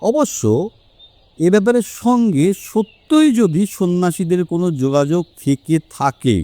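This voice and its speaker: A man playing a confident, sharp-minded male detective in an audio story, with deliberate, emphatic phrasing. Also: high voice, expressive, no room sound. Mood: assured. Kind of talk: Bengali audio story acting.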